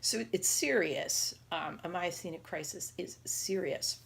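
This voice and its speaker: A woman around forty speaks in a low, gravelly voice with a noticeable rasp. She talks informally to her viewers as a video diarist, rambling and pausing as she finds her way through each thought. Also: fairly bright tone, slight background noise. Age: 30s or 40s. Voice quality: raspy. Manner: halting, rambling, conversational. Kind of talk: casual personal health vlog.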